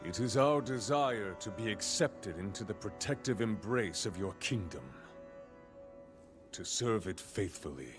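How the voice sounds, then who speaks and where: An actor playing a scheming, falsely courteous desert king in a game cutscene, speaking slowly and deeply. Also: expressive. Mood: silky menace. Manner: slow, deep, falsely courteous. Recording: game cutscene over music.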